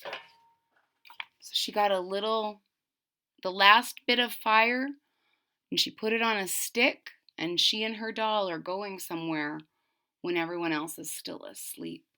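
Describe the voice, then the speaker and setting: A woman reading a children's story aloud expressively and deliberately, pausing to explain it simply to young listeners. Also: mid-range voice, sharp tone, smooth. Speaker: storybook reader.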